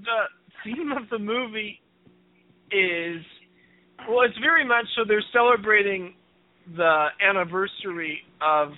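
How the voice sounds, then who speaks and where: A man's voice: high voice, animated, measured pace, dry recording. A man co-hosting a radio chat show, chatty and eager to jump in. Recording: dry, narrow phone-like sound.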